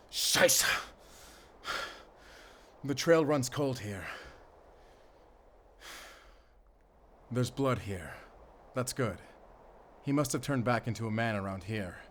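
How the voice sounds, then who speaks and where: An actor playing a mysterious, confident monster hunter with a German accent in a gothic horror audio roleplay, speaking in a measured way to a companion. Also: male voice, medium pitch, expressive, fairly bright tone, a little hiss or hum. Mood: urgent, focused.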